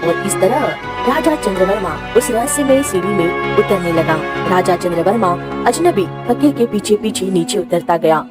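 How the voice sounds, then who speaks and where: A woman narrating a scripted story in a dramatic storytelling style. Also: medium pitch.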